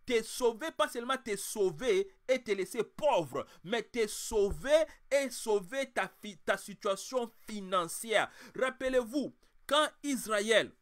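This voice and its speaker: A male preacher giving a passionate sermon in French, though he now speaks English more. He swings from quiet talk to loud, forceful preaching. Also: high-pitched, no room sound, studio quality. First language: French, now mainly English-speaking. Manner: impassioned, swings from quiet to shouting.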